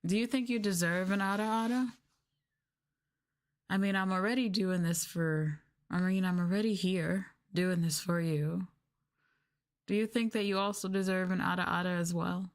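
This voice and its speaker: A woman's voice: lowish voice, normal speed, pauses often. A woman livestreaming an ASMR roleplay, talking down to her viewers in a teasing, sultry, condescending way. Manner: teasing, condescending, mock-sadistic dominance.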